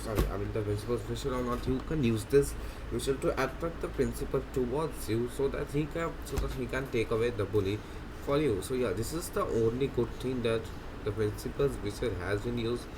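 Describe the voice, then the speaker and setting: A man's voice: medium pitch, brisk, noisy background. A man giving unscripted gameplay commentary, explaining as he goes in a rambling way, often repeating and correcting himself. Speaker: game video narrator. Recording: music playing loudly behind voice.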